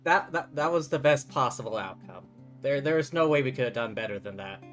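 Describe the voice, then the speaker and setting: A man with a mid-pitched voice streams a game and comments casually on it. Game music runs faintly behind him. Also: lively intonation, brisk, fairly bright tone.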